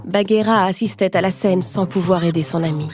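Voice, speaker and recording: A woman's voice: on the low side, steady tone, fairly quick. A woman storyteller reading a scripted children's tale in narration style. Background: music.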